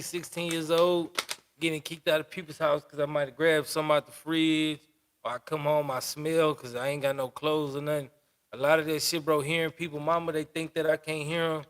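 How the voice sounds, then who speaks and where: A man's voice: on the high side, a bit bright. A man in his twenties hosting a podcast, talking loosely in street slang and often asking "you know what I'm saying". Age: 20s. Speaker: podcast host. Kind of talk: casual interview.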